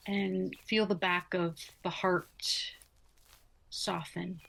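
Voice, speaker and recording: A woman leads a guided relaxation in a slightly low voice with very little rise and fall. She is soothing and unhurried, leaving long pauses between short, gentle instructions. Her delivery is very slow throughout. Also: flat delivery, vocal fry. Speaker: yoga nidra guide.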